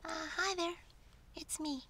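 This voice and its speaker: A woman's voice: very high voice, measured pace, sharp tone, dry recording, voice pushed up, heavily acted. A woman voicing a shy, self-doubting little boy spoon in a storybook, hesitant and whiny.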